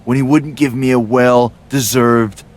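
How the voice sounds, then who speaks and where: An actor playing a smug, scheming wrestling villain, sarcastic and self-important, in a video game cutscene. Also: male voice, mid-range voice, steady tone, a little slow, close to an everyday voice.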